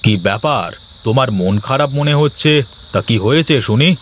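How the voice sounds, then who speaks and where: A man's voice: medium pitch, brisk, a little hiss or hum, natural voice. A man voicing a reasonable village husband, loyal to his mother, in a Bengali cartoon story. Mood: coaxing.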